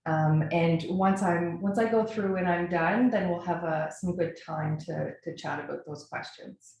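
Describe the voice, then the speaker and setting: A woman from Nova Scotia with a Canadian accent gives an informal webinar presentation in an earnest, enthusiastic way, pausing often as she goes. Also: fairly low pitch, darkish tone. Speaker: webinar presenter, brand strategist. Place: indoor echoey room, online webinar. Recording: very echoey room, faint noise.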